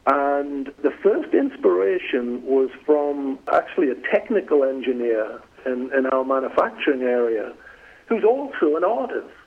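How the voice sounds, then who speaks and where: Middle-aged English man, business guest on a phone interview, husky voice, tinny phone line, stumbles and repeats words. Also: mid-range voice, sing-song, measured pace. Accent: northeast English, softened by years in the US. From northeast England. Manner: conversational, repeats words when starting sentences. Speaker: flooring company president, guest.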